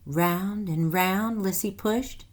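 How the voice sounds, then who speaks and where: A woman teacher reading a storybook aloud to young children in a deliberate, instructive way with animated emphasis. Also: medium pitch. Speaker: first grade teacher.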